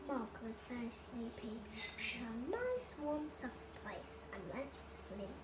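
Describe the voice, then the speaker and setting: A child reading a children's bedtime story aloud with expressive, dramatic delivery. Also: medium pitch, unhurried. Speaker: storybook reader. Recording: roomy echo, loud enough background noise.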